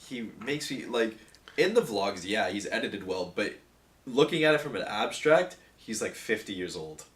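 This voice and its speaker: A man's voice: on the low side, animated, moderate pace, fairly bright tone. A young man co-hosting a podcast, chatting in a laid-back, dry way with friends. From Pennsylvania, US. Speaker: podcast co-host. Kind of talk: casual chat among friends.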